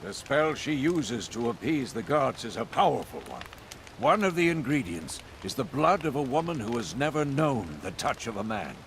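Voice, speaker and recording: Fantasy game schemer: conspiratorial, cunning, deliberate, medium pitch, faint ambient noise behind. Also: male voice, sing-song. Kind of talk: fantasy video game voice acting.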